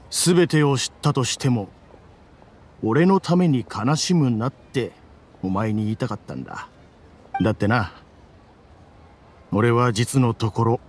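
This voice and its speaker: An actor playing a gruff, boastful but tender-hearted mercenary father, speaking his letter in rough, casual, teasing words in a game. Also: medium pitch. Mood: fond, teasing. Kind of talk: voiced letter monologue in game cutscene.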